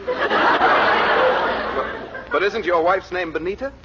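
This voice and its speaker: An actor playing a vain, gullible, starstruck drama critic, self-important and fawning, in 1940s radio comedy. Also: male voice, sits high, animated, slow pace, muffled recording. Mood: puzzled. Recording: noisy, crackly, band-limited 1940s radio.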